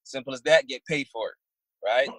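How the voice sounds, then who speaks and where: A man in his thirties from Barbados, a trucking business owner answering interview questions in a plain, halting way. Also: mid-range voice, a bit bright, no room sound, poor audio. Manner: plain-spoken, halting with fillers and restarts.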